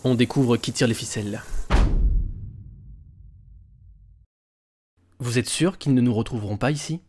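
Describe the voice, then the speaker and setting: A man's voice: medium pitch, fast, background noise, close to an everyday voice. A man playing a cautious, skeptical adventurer and researcher in a fantasy audio drama, explanatory in manner. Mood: purposeful. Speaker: voice actor in an amateur audio fiction.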